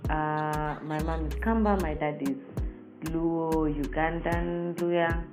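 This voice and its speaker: Woman, Kenyan accent, on the lower side, casual interviewee recounting personal stories with animated emphasis, faint music underneath. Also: slow. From Nairobi, Kenya. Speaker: singer being interviewed.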